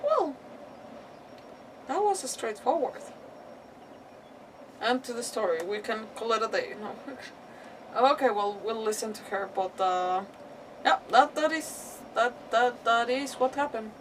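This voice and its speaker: A woman casually commentating on a live game playthrough, thinking aloud in an informal, expressive way. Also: fairly high pitch, measured pace, long pauses, slight background noise. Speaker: game streamer. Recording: distant-sounding mic with game music behind. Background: game music.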